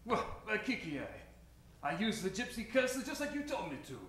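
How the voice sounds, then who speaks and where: A scheming henchman , played by a man in an old radio melodrama, with a high, swooping delivery. His theatrical lines echo over faint music. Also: sing-song, brisk, fairly bright tone. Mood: defensive. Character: scheming fez-headed male villain henchman. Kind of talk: old-time radio play acting. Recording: echoey stage, faint music behind.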